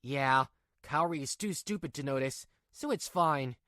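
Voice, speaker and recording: A woman's voice: rumbling low, a little slow, fairly bright tone, no echo, clean studio sound. A woman voicing a weak-willed, two-faced young husband in a manga drama, with a pleading, hesitant delivery. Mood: smitten.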